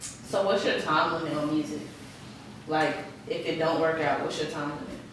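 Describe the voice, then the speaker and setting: A man with a lighter, higher voice puts questions in a casual podcast chat. He sounds set back from the microphone. The room is strongly reverberant. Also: little pitch movement, normal speed. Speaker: podcast interviewer. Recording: echoey room, distant mic, faint noise.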